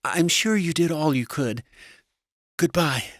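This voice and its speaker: A man's voice: animated, unhurried, fairly bright tone, no room sound, studio quality. A man voicing a meek, anxious, long-suffering wife in an audiobook story, speaking in a plaintive, fretful way. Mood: anxious, sad. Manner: plaintive, fretful, higher-voiced.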